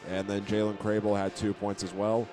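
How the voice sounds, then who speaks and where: Low voiced guy doing sports radio recap, pretty flat tone, bit halting, faint music behind. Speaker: sports play-by-play announcer. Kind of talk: radio halftime recap commentary.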